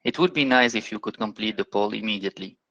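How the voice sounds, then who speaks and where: A man hosting a business webinar, introducing the guest speaker in a formal, measured way. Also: on the low side, a bit bright, highs cut off. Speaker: webinar host. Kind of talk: scripted introduction.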